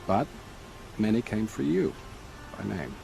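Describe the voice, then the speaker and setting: A shrewd, dignified male courtier in a game story. His deep voice has a creaky gravel, and his delivery is dry, formal and courtly. Music is heard behind him. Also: very animated, a little slow, heavily acted, poor audio. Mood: courtly, wry. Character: dignified, discreet, shrewd male royal advisor. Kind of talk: video game cutscene acting.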